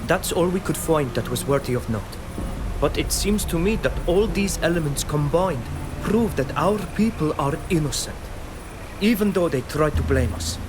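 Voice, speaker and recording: An actor playing an earnest, proud young islander in a video game, speaking in a measured, firm manner. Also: male voice, on the high side, expressive, over music, close to an everyday voice. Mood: earnest, reasoning. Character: earnest young islander man, proud defender of his people. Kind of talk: video game character acting.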